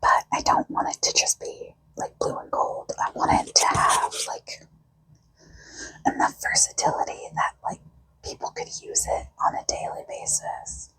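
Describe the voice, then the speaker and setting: A woman who has lost her voice to a cold, talking casually in a breathy, husky whisper as she thinks aloud while hosting a hobby vlog. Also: fairly bright tone, rough recording. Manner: informal, unhurried, musing. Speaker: host of a makeup video.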